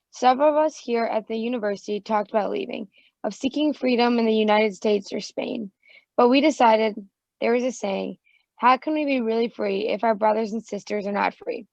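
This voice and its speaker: A woman reads a first-person testimony aloud, measured and solemn. Her voice sits a little high with a gravelly edge. The sound is dull and dry, as if muffled. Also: a little mellow, dry recording. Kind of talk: reading a martyr's testimony aloud.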